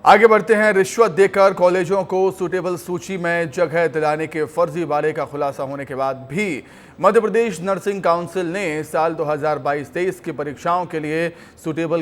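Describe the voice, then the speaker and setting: A man reading television news as an anchor. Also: a little high. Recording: music bed under the voice. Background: news music.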